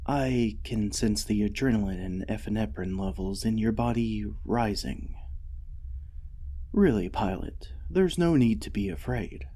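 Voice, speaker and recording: A gentle artificial intelligence of a war mech , acted by a man, speaks in a slow, soft ASMR roleplay. The voice is lowish and very mellow, earnest and devoted, with a hesitant, soothing care for its pilot. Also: lively intonation. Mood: gentle, reassuring. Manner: soothing, earnest, hesitant, often dropping to a whisper.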